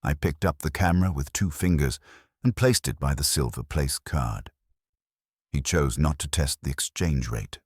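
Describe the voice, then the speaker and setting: A man reading a detective story in a wry, measured storytelling manner. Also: extremely low pitch, breathy edge, no echo, clean studio sound. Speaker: audiobook narrator. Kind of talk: scripted detective story narration.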